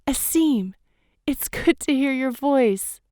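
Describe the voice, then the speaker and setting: A woman's voice: sits high, animated, slow pace, darkish tone, no echo, clean studio sound. A woman acting as an earnest, emotional doctor, a ghostly companion to a survivor, in a radio drama. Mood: moved.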